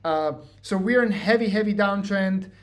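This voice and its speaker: High-voiced Slovak man, joking. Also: moderate pace. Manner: joking, self-mocking, filler-heavy. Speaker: trading educator. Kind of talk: casual screen-share walkthrough.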